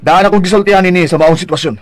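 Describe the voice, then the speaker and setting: An actor playing a devoted but firm husband in an expressive, melodramatic radio drama style. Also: male voice, sits high, rapid-fire, heavy background noise, lightly acted. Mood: annoyed, defiant. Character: devoted husband, affectionate at home, firm with rivals.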